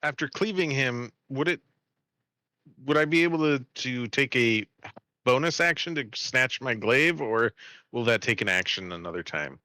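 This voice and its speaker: A man talks casually as a player at a tabletop game. His voice sits at a middle pitch with a sharp, bright edge. The recording is dry, without echo. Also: animated, normal speed.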